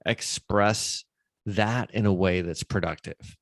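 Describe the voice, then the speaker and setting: A man's voice: fairly low pitch, slow pace, dry recording, studio quality. A man hosting a conversational podcast interview, explaining his thoughts in a reflective way. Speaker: podcast host.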